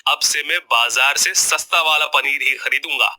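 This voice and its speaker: A man's voice: medium pitch, normal speed, sharp tone. A man voicing a greedy, scheming street food vendor in a grumbling, wily way. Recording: music bed behind the voice.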